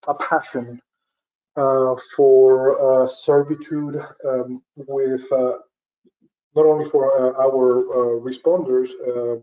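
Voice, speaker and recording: A middle-aged man from Venezuela talks through his background as an interview guest, pausing often and restarting his sentences. His delivery is flat and even, with a mellow, darker tone. The audio is dull and lo-fi. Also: medium pitch. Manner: halting, many fillers and restarts. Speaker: guest, safety professional. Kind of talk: interview answer.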